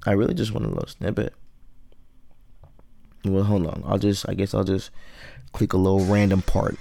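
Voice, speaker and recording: A man's voice: deep voice, steady tone, moderate pace, vocal fry, mellow. A man in his late twenties hosting a solo podcast, rambling through loose, profane casual commentary. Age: 20s. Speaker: podcast host.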